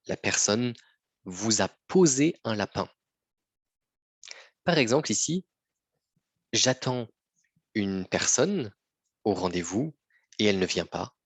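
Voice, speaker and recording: Male teacher, patient and repetitive, dry close sound, low-ish breathy voice. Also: dry recording. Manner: patient, repeats phrases, often checks with "d'accord?". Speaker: French teacher. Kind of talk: slow lesson for learners.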